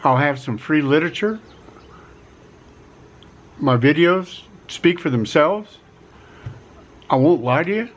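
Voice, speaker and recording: A middle-aged man giving a casual vlog pitch from a quiet rural home, talking in a folksy way, repeating himself and stressing key words. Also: animated, slow, pauses often, muffled recording. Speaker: vlogger offering relocation advice.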